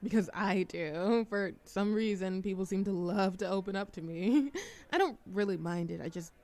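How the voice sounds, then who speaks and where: A woman's voice: medium pitch, normal speed, darkish tone, close to an everyday voice. A woman playing a shy, socially anxious young woman in a café audio roleplay, chatting in a hesitant, self-deprecating ramble. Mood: shy, chatty. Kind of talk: roleplay ASMR audio drama, conversational monologue.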